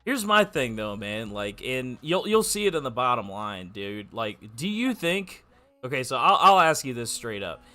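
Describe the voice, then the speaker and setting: A man's voice: mid-range voice, big pitch swings, normal speed, sharp tone. A man giving casual, opinionated football talk, emphatic and slangy, arguing his point with rhetorical questions. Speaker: sports podcast commentator. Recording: music behind the voice. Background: music.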